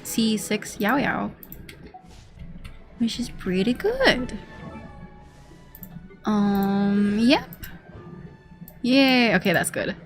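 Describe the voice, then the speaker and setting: A woman game streamer giving casual gameplay commentary, rambling and repeating herself as she thinks aloud. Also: a little high. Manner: rambling, repetitive self-talk.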